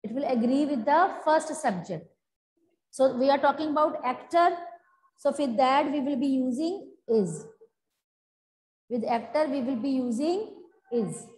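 High-voiced Indian English teacher, roomy classroom. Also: female voice, lively intonation, measured pace, a little mellow. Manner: repetitive, checks in with students often. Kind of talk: online grammar lesson at a chalkboard. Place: classroom in front of a chalkboard. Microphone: lapel mic.

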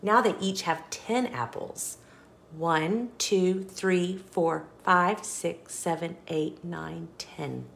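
A woman reading a picture book aloud to young children, with expressive emphasis and small asides to the listener. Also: on the low side, slow pace, vocal fry, slight background noise. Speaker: children's storybook reader.

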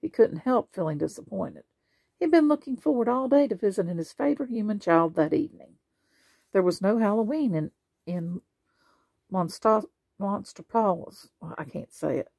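A woman reading children's bedtime stories aloud in an expressive way. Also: mid-range voice, normal speed, vocal fry, husky, no room sound. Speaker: storybook reader.

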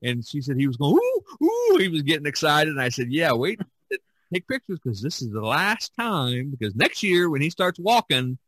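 A man's voice: on the high side, huge pitch swings, normal speed, a bit bright, slightly rough, no room sound. A middle-aged or older American man from Indiana hosting a casual podcast chat, often chuckling as he talks. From Indiana, United States. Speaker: podcast host.

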